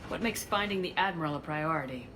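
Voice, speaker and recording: A woman's voice: low voice, expressive, fast talker, very noisy. An actress playing a resolute, direct female military commander in a video game. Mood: businesslike.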